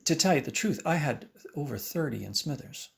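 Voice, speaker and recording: A man talking casually in an interview as a teacher looking back on his work, with an expressive way of telling it. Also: on the high side, a little fast, gravelly. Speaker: private violin teacher. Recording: roomy, distant mic, faint noise.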